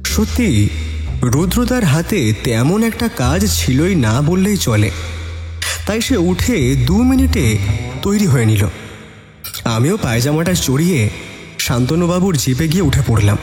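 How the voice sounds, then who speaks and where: Male suspense narrator: expressive, lively intonation, reverberant sound over faint music. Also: a little high, a little slow, pauses often. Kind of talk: dramatic scripted first-person story narration. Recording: reverberant voice with added echo.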